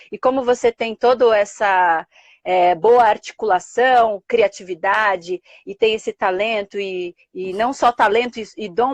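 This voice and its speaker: A woman's voice: fairly high pitch, dry recording. A young adult woman with a Brazilian Portuguese accent, hosting a live interview and thanking her guest effusively. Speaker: host.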